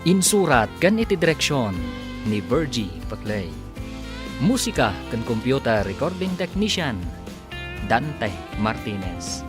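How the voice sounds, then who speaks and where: A man's voice: medium pitch, very animated, unhurried. A man narrating and announcing a radio serial in a dramatic, scripted style. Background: music bed.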